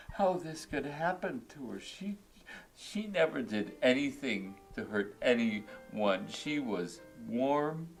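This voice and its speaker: Drunk bitter widower, film drama, rambling, combative, highly animated pitch. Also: male voice. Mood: grieving. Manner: rambling, combative, stammering venting. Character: drunk, bitter, grieving widowed psychologist, ranting. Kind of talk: naturalistic film drama dialogue.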